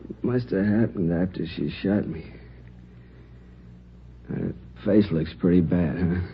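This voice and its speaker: Gravelly, deep-voiced wounded crime victim, male. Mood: weary, musing. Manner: halting, colloquial, slangy. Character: wounded married man, shot robbery victim, sheepish and plain-spoken. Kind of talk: 1950s radio drama acting. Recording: muffled, noisy old radio recording.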